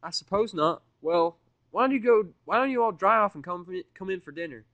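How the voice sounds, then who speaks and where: A man's voice: high voice, expressive, a little fast, no echo, phone-quality sound, pitched above the performer's own voice. A man voicing a grown female farm pony with a friendly, inviting manner.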